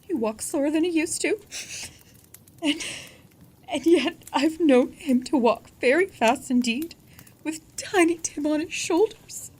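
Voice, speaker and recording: A woman's voice: high-pitched, unhurried, darkish tone. A woman playing a tender, grieving mother in an audio drama, her words faltering.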